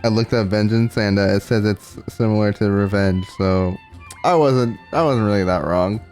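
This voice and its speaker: A woman's voice: very deep voice, normal speed, darkish tone, faint music behind. A woman giving casual, playful commentary over a video game, reacting aloud as she plays. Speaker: gaming YouTuber.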